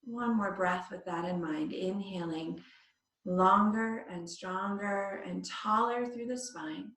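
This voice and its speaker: A woman teaches yoga from some distance away from the microphone, in a very echoey room. Her pitch is average for a woman. She guides a body scan meditation in short, spaced-out phrases. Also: fairly bright tone. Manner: instructing in short phrases with long pauses.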